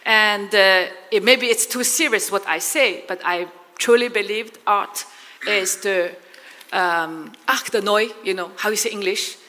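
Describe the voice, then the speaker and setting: Chinese-accented woman artist on a panel, earnest, hunts for words, bright voice, long hall reverb. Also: mid-range voice, measured pace. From Shanghai, China. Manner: earnest, searching for words, many fillers. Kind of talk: panel discussion answer. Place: large conference hall.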